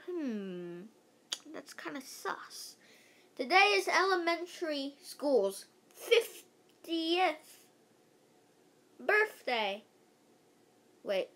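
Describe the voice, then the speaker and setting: A female voice giving an animated read-aloud of a children's book, stumbling over words now and then and adding casual asides. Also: high-pitched, extremely slow, pauses often.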